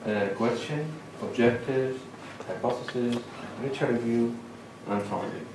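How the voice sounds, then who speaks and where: A middle-aged man with a Lebanese accent giving a measured academic presentation at a lectern, pausing between listed points. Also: medium pitch, background noise. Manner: measured, lists points with pauses. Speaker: conference speaker. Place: large lecture hall. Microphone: lectern microphone, far camera. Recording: echoey hall recorded from far off.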